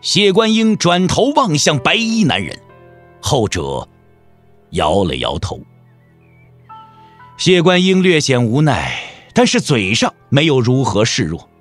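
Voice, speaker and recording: A man's voice: on the high side, big pitch swings, slow, long pauses, soft background music. A man narrating a martial-arts audio drama in a dramatic scripted style.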